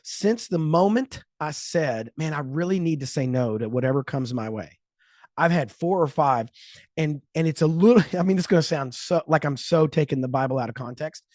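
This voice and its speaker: A man in his mid fifties tells a story with plenty of energy, slipping into quoted voices and breaking into laughter. His voice sits a little high for a man. The recording is close and dry, with no room sound at all. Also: no room sound. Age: 50s. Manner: animated storyteller, acts out quotes, laughs often. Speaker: podcast host. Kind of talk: casual chat.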